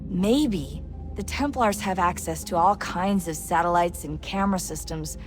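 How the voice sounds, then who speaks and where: An actress playing an upbeat young female technician guiding a teammate through a mission, speaking in a brisk, practical way, in video game dialogue. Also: lowish voice, over music, close to an everyday voice.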